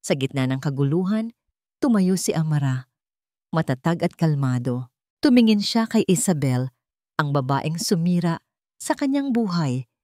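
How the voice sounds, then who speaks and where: A woman's voice: deep voice, sing-song, unhurried, pauses often, darkish tone, dry recording, studio quality. A woman reading a scripted romance drama as a storyteller, with dramatic narration.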